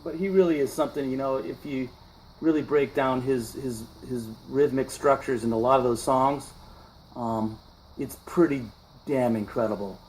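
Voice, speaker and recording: A man in his fifties chatting casually in a radio interview, reflective and halting, often restarting his phrases as he thinks aloud. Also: mid-range voice, darkish tone, a little hiss or hum, low-quality recording. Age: 50s. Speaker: musician, interview guest. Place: radio broadcast session.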